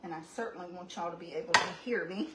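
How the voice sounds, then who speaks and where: A woman's voice: on the low side, fast talker. Chatty woman in a roomy kitchen. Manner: chatty, rambling, homey asides. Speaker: home cooking channel host. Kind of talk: casual cooking demonstration. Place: home kitchen. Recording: roomy, distant, noisy home recording.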